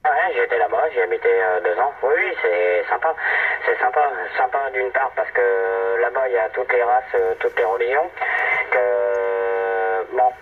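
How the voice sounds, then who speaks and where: A man's voice: mid-range voice, expressive, unhurried, slightly rough. A middle-aged Frenchman chatting casually and hesitantly over a CB radio link, rambling in an easygoing way. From metropolitan France. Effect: CB single-sideband radio. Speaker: amateur CB radio operator. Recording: narrow tinny radio link, noisy, distant.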